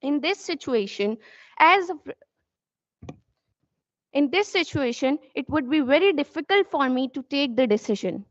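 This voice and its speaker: A young woman with an Indian accent speaks in a high voice, earnest and formal, sometimes breaking off to restart. The sound is dull and lo-fi, dry and close. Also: expressive, measured pace, long pauses, no room sound. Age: young adult. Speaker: student competitor. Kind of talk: prepared competition speech.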